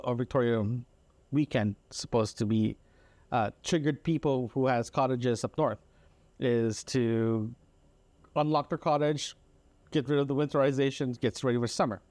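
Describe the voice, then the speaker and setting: A man's voice: mid-range voice, a little mellow, no echo. A man from Canada hosting a casual audio diary, telling everyday stories in a rambling, repetitive, mildly exasperated way with frequent check-ins to the listener. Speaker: podcast host.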